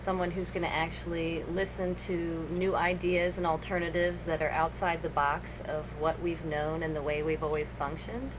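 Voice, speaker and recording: A woman with a rather low voice states her views bluntly and earnestly. The sound is dull and lo-fi, with clear hiss. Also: fairly even intonation, normal speed, hardly any pauses. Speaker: interviewee. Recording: dull lo-fi webcam audio with hiss.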